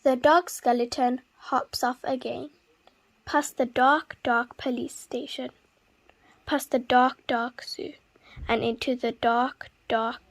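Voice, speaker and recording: Slow, higher-voiced woman reading to children. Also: steady tone. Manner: deliberate, dramatic emphasis on repeated words. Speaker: children's book reader. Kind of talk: expressive read-aloud storytelling for young children.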